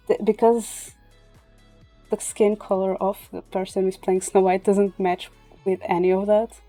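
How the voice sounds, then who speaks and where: A woman's voice: mid-range voice, dark tone. A woman whose first language is Portuguese, chatting casually on a livestream and sometimes searching for English words. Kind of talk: casual chat. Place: indoor livestream with music behind.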